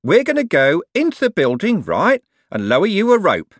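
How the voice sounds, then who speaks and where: Audiobook villain: a falsely jolly enforcer, bellowing, very high and swooping. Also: male voice, wildly swooping pitch, normal speed, no echo, clean studio sound, exaggerated voice. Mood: menacingly cheery. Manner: matey, mock-cheerful. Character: menacingly cheery male thieves' enforcer.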